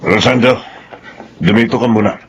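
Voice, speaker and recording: A man's voice: on the low side, moderate pace, vocal fry, slight background noise. An actor playing a stern, disappointed father in a Filipino radio drama, with a Filipino accent. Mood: grave, disappointed. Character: stern, disappointed father of two grown sons.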